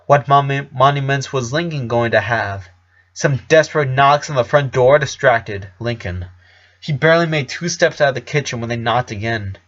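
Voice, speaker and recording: A man's voice: medium pitch, moderate pace, fairly bright tone. Man narrating a story, slightly muffled. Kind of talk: expressive scripted story narration.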